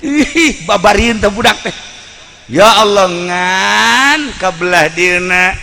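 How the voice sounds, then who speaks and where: Male Islamic preacher, Sundanese accent, slow-paced and bright-voiced, spinning a moral tale in blunt, earthy storyteller fashion. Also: sits high, expressive, vocal fry, a little hiss or hum. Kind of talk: Sundanese sermon told as a folk tale.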